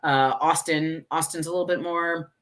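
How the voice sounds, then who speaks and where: A woman from Texas with an American accent, chatting casually as an interview guest in a chatty way, quick to laugh. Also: low voice, fairly even intonation, fairly bright tone. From Houston, Texas, United States. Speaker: guest, tequila brand ambassador.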